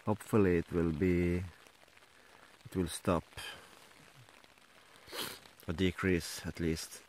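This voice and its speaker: A middle-aged man with a Norwegian accent, talking to a handheld camera in a casual camping vlog, halting with many fillers. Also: lowish voice, darkish tone, a little hiss or hum, poor audio. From Norway. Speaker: outdoor vlogger. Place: inside a small tent, then outdoors by a lake. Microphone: handheld camera at close range.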